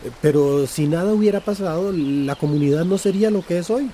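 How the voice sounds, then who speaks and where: Caring Central American activist played by an actor, radio drama; earnest, soft-toned, fairly high male voice. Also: a little fast, slight background noise, close to an everyday voice. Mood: tender, reassuring.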